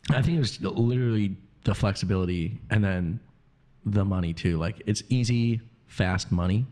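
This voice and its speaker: A man's voice: on the low side, normal speed, warm tone. A man in his thirties chatting casually on a podcast, laid-back and agreeable, about bar work. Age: 30s. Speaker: bartender and musician, podcast guest. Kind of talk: casual chat.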